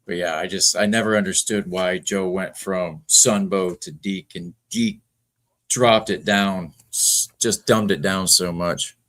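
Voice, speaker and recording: A man's voice: low-pitched, a little slow, a bit bright. A man hosting a toy-collecting podcast in laid-back casual chat, swearing freely. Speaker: podcast host.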